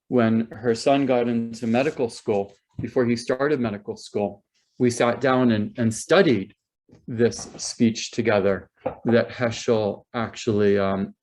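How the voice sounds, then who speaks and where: A man hosting as rabbi on a video call, speaking slowly and deliberately and often stopping to restart his sentences. his pitch sits right in the middle for a man, and the sound is plain video-call audio. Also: a little mellow. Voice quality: halting, many ums and repeated words. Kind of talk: hesitant spoken introduction.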